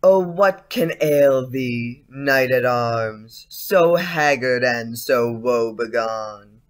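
Man playing a slow, dreamy, insolent schoolboy. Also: mid-range voice, animated. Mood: haunting, dreamy. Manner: slow, theatrical recitation. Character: dreamy, insolent schoolboy reciting eerie old poetry. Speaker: actor in an audio play. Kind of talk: audio drama acting, reciting poetry.

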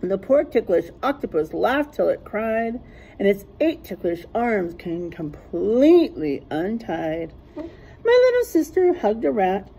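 A middle-aged woman reading a rhyming picture book aloud like a teacher to young children, sing-song and deliberate, stressing rhymes and letters. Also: mid-range voice, big pitch swings, warm tone, slightly rough, poor audio. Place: small classroom, indoors.

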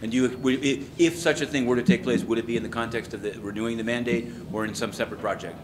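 A man's voice: medium pitch, steady tone, fast. A man working as a journalist puts a question at a press briefing. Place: large indoor press area. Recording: noisy press room ambience.

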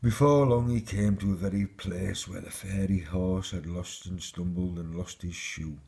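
A man with a husky voice, reading a children's fairy tale aloud as a storyteller. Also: deep voice, steady tone, normal speed, a little mellow.

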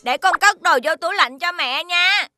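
A woman's voice: extremely high pitch, unhurried, pushed and bright, no room sound, faint music behind, exaggerated voice. A woman voicing a small, childlike, earnest alien kid in a children's cartoon. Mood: sweet, eager. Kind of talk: children's animated series dubbing.

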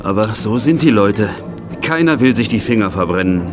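Actor as a kindly, animated horse-estate owner, standard German. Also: male voice, fairly low pitch, normal speed, music in the background, lightly acted. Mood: curious, bantering. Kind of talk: children's radio play acting.

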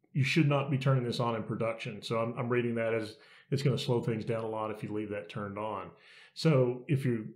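Middle-aged guy explaining software stuff from his home office, chill, kinda roomy sound. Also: mid-range voice. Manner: relaxed, conversational with frequent restarts and repeated words. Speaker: software consultant. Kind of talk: casual how-to video. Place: home office with bookshelves, indoors. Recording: roomy echoey small room.

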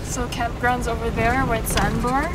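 A woman's voice: a little high, flat delivery, a little slow, far from the mic, very noisy. A woman chatting casually as a travel vlogger, filming a camping road trip. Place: in a car and outdoors on a road trip. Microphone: camera mic, behind the camera. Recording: loud music, off-mic camera audio in car and outdoors. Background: music, vehicle sounds.

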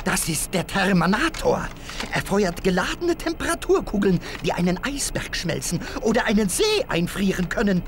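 Excitable crackpot inventor, lively. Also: male voice, high voice, normal speed, background noise. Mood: excited, proud. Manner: excited boasting, stammering.

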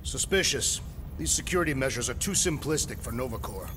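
A man's voice: medium pitch, a little fast, background noise, natural voice. A man as a stern, formal alien warrior. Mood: stern, distrustful. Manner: formal, stern, deadpan, avoids contractions. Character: big, solemn, literal-minded alien warrior. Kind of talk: video game character acting. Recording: loud music behind the voice.

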